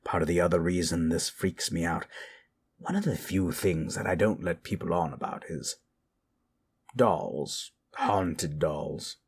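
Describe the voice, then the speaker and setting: A man playing a gentle, brooding teller of spooky tales in a slow, hushed, dramatic manner over music. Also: deep voice, slightly rough, exaggerated voice. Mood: uneasy, fascinated. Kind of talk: in-character horror storytelling.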